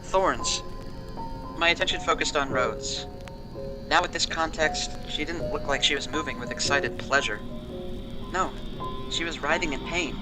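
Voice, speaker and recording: Man acting a shaken, higher-voiced man giving testimony. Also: close to an everyday voice, poor audio. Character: shaken, wary man recounting a frightening experience. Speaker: interviewee. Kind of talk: audio drama monologue, interview testimony. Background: musical score.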